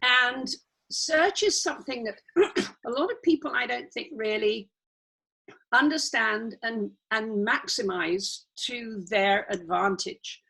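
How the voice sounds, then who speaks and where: Croaky, bright-toned woman trainer. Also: a little high, takes it slowly, rough recording. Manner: chatty, explains with asides and restarts. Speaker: guest YouTube trainer. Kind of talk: casual live training.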